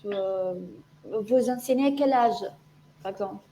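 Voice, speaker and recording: A woman from Jordan, a native Arabic speaker, co-hosting a podcast in hesitant speech that trails off softly. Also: on the high side, a little slow, low-quality recording. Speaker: podcast co-host. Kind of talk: casual chat.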